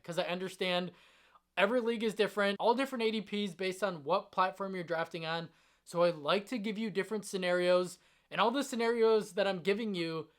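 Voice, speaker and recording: A sports content host speaks straight to the audience in an emphatic, enthusiastic way. The voice is slightly strained from a long stretch of talking. The delivery is that of someone laying out fantasy sports advice with conviction. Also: mid-range voice. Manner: emphatic, enthusiastic about the picks. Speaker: fantasy football channel host.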